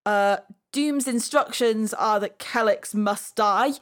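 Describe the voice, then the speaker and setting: High-voiced woman chatting on a podcast. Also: slow. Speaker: podcast host. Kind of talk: casual podcast discussion.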